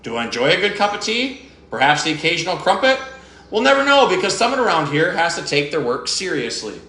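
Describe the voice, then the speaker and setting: A man's voice: fairly high pitch, very animated, a little fast, bright, forceful tone, echoey room, heavily acted. Man reading as a grumpy jealous goblin. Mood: indignant. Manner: indignant, complaining, exclaiming. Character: grumpy jealous male goblin, fussy and proud.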